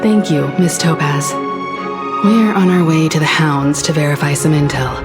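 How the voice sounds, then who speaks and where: An actress plays a composed, analytical investigator in a game, speaking low and calm. Game music plays clearly behind her lines. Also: normal speed, lightly acted. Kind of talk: game voice acting.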